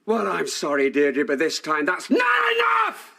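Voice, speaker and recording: A man's voice: fairly low pitch, very animated, brisk, very noisy. An actor playing a wounded, stern older husband and father in a TV soap, articulate and exasperated, with an English accent. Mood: furious. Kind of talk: naturalistic television soap drama acting.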